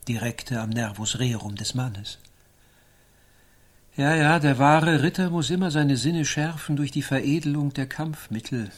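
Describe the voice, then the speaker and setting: A pompous, learned knight of satirical radio drama, acted by a man, holds forth in a medium-pitched voice. His phrasing is stilted and scholarly, as of someone forever instructing others in the proper code. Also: measured pace, lightly acted. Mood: pompous, instructive. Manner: pompous, stilted, Latin-sprinkled wording. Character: pompous, learned knight preaching honour and rules. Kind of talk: satirical radio play dialogue.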